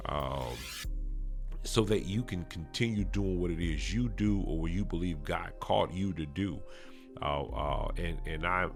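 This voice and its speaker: A man hosting a podcast, talking casually and encouragingly to his viewers in a halting, repetitive way. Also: deep voice, gravelly, a bit bright. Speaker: podcast host. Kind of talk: casual promotional talk to viewers.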